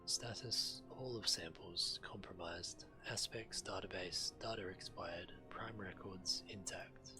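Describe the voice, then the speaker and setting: Ancient machine intelligence. Low-pitched, impassive, measured video game announcements with music behind. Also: male voice, in a whisper, rough recording. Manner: measured, clipped status announcements. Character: impassive ancient titan facility computer, formal and clinical.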